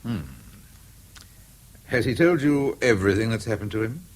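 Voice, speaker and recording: An actor playing a sceptical police inspector in a radio drama, formal, incisive and deliberate, with an English accent. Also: male voice, medium pitch, lively intonation, natural voice. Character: probing, sceptical male police inspector, brusque with subordinates. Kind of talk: 1970s British radio drama acting.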